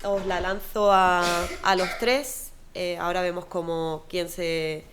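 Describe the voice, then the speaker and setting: A woman's voice: lowish voice, fairly even intonation, takes it slowly, noisy background. A woman from moderating a panel discussion, speaking hesitantly with many fillers.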